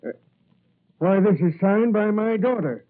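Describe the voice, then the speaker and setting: A man's voice: high-pitched, expressive, a little slow. An actor playing a fond, proud police commissioner and father in 1940s-style radio drama. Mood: fond, concerned. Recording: muffled, narrow-band vintage radio recording.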